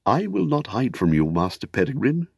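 A man's voice: mid-range voice, wildly swooping pitch, normal speed, gravelly, a little mellow, breathy edge, dry recording, voice pushed up, exaggerated voice. A hearty guardsman of a fantasy city, as a man reader plays him. He is friendly and hospitable, speaking in formal, archaic phrases with courteous ease. The recording is dry and close. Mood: frank. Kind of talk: audiobook character acting.

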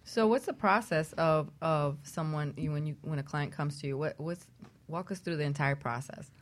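A woman's voice: sits low, moderate pace. A woman co-hosting a radio show, chatting casually and putting questions to a guest. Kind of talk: casual chat, interviewing.

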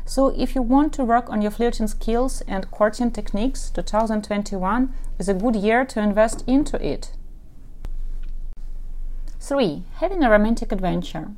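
A woman's voice: on the high side, normal speed, darkish tone. A woman from Ukraine whose first language is Russian, speaking English with an accent, who works as a matchmaker and dating coach and gives advice in a podcast monologue. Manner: advice-giving, lists examples at length.

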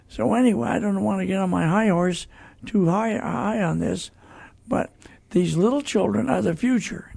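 An American man hosting a religious talk radio show in a folksy, earnest, conversational way, with a gravelly, husky voice. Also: high voice, darkish tone. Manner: folksy, earnest, addresses listeners directly.

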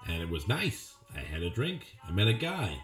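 A low male voice of an actor plays a hard-boiled noir man who has lost his memory. He is terse and rattled, muttering to himself and distrusting everyone. Music runs behind the improvised audio drama. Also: huge pitch swings, normal speed, a bit bright, exaggerated voice. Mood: curt, weary. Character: confused amnesiac man, suspicious hard-boiled noir narrator.

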